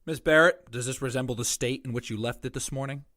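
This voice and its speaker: An actor playing a courteous small-town sheriff in an audio drama, formal, authoritative and protective in manner. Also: male voice, medium pitch, quick pace, fairly bright tone, lightly acted. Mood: businesslike.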